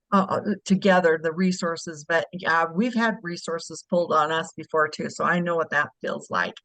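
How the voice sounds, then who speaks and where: A woman's voice: lowish voice, fairly bright tone, no echo, rough recording. Woman leading a video call discussion. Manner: encouraging, probing questions. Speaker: breakout room facilitator. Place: home living room.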